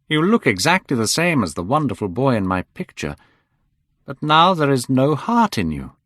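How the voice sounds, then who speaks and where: A man reading aloud, voicing an older painter who sounds worried, gentle and pleading. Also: medium pitch, sing-song, normal speed, no room sound, studio quality, pitched above the performer's own voice. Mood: anxious, pleading.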